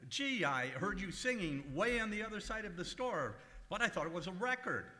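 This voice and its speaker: A bright, forceful, highish voice rings through a hall as a man acts out a fussy male comedian from 1940s radio. He hems and hesitates, swings up and down in pitch, and sounds easily put out. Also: very animated, full character voice. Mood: polite. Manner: hesitant, hemming and drawn-out delivery. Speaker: stage actor in old-time radio revue. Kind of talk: 1940s radio comedy acting before a live audience. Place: large echoey museum room, live stage. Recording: echoey hall recording of stage show.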